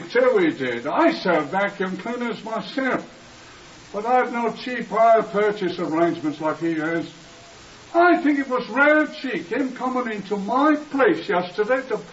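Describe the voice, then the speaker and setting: An aggrieved ironmonger with a very high voice. He grumbles indignantly in 1950s radio style, a little distant from the microphone. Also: fairly bright tone. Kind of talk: 1950s radio drama acting. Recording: dull, hissy, distant 1950s radio recording.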